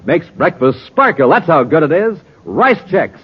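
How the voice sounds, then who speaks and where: A man's voice: fairly high pitch, sing-song, unhurried, slightly rough. An American man announcing and narrating a 1950s radio show with a dramatic, emphatic showman delivery. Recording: muffled, noisy 1950s radio recording.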